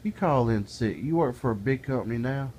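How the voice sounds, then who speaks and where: A man's voice: medium pitch, lively intonation, a little fast, a little mellow, slight background noise. A middle-aged man talking casually to camera in a plainspoken, folksy way, building into an exasperated rant. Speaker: vlogger. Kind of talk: casual ranting monologue.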